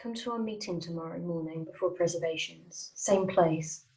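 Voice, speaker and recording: A woman in a script table read playing a guarded, secretive leader of a hidden group, speaking in a hushed, measured, serious way. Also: lowish voice, close to an everyday voice, poor audio.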